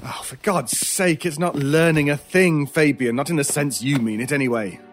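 An actor playing a menacing stranger in a horror radio drama, theatrical and deliberate, with cutting scorn and cold persuasion. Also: male voice, a little high, a little hiss or hum, natural voice. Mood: scornful, exasperated. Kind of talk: old-time radio style horror audio drama acting.

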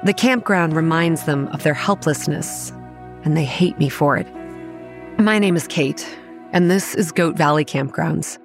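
An actress playing a haunted, wary young campground manager, narrating a horror audio drama in a measured, reflective, grave way. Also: female voice, low voice, gravelly, soft background music. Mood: brooding, resentful. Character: haunted, wary young woman running a monster-ridden campground. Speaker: narrator and lead character.

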